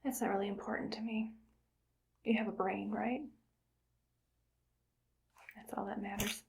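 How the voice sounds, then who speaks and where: A woman's voice: mid-range voice, little pitch movement, unhurried, vocal fry, very noisy. A woman playing a whispering evil scientist. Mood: coolly clinical. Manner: soft whispering, clinical and matter-of-fact. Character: cold, calm female evil scientist harvesting brains. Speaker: ASMR creator. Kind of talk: ASMR roleplay.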